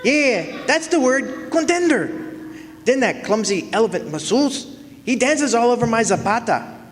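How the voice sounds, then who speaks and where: Brief: an actor playing a sleazy, rambling trainer with a put-on Latin accent, pitched high, in a long echoing hall. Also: male voice, very animated, measured pace, exaggerated voice. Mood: indignant. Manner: rambling, trailing off. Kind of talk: live stage radio play acting. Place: historic club hall, live audience performance.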